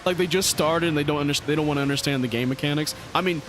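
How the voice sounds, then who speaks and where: A man's voice: a little high, racing, background noise. A young man in casual gaming chat, teasing and making crude jokes. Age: young adult. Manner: teasing, crude joking banter. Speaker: co-host playing a video game. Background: game music and sound effects.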